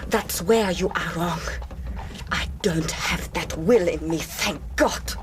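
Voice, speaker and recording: An actress playing a defiant, coolly bitter young sister in a radio drama, measured and quietly scornful. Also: female voice, lowish voice, a little hiss or hum. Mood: calm, principled. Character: defiant, coolly bitter Greek woman avenging her brother.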